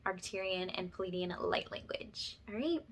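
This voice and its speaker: Woman doing spiritual asmr, kinda low voice, talks right to you, reassuring, lots of little check-ins. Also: moderate pace, a little hiss or hum, low-quality recording. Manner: reassuring, addresses listeners directly with check-in tags. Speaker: ASMR spiritual healer and channel host. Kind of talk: ASMR, informal spiritual talk to viewers.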